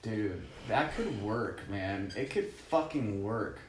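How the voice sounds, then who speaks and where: A man's voice: on the low side, lively intonation, slow pace. A man co-hosting a podcast, talking casually in slangy, profane language full of "dude" and "man.". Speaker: podcast co-host. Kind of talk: casual film and video game chat. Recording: roomy echo, faint background noise.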